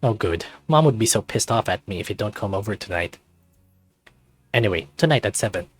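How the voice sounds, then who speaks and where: A man's voice: lowish voice, lively intonation, fairly quick, breathy edge, faint music behind. A man voicing a goofy, laid-back teenage male furry best friend with casual, hesitant slacker phrasing in a visual novel. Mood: casual, relieved.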